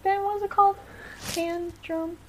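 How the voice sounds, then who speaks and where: A woman's voice: very high-pitched, steady tone, moderate pace, noisy background. A young American woman, a musician guest on a podcast, chatting casually with many fillers and frequent laughs. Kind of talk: casual chat.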